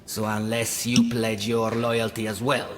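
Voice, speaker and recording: An actor playing a solemn, guarded cult follower in a video game, speaking portentously. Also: male voice, fairly low pitch, monotone, over music, natural voice. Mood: menacing, solemn. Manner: slow, portentous. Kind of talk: video game cutscene acting.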